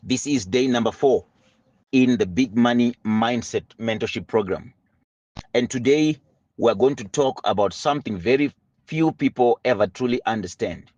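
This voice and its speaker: A man's voice: mid-range voice, normal speed, a little mellow, no echo, highs cut off. A man giving a motivational lesson on money, earnest and building with preacher-like emphasis. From Zimbabwe. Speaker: money mentor.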